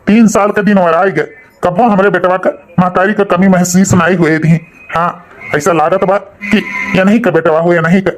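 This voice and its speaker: A man's voice: sits high, close to an everyday voice. A man playing an aggrieved, plain-spoken young village father who grumbles and exclaims in a comic cartoon, with an Awadhi accent.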